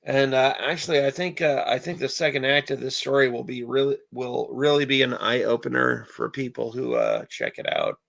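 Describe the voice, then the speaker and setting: A man with a hoarse voice chatting casually with viewers on a live stream, rambling with many filler pauses. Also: medium pitch, sing-song, a bit bright.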